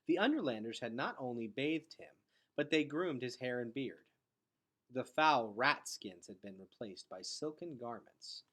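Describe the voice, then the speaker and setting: A man reading a children's novel aloud in an expressive storytelling style. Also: mid-range voice, measured pace. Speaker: read-aloud reader.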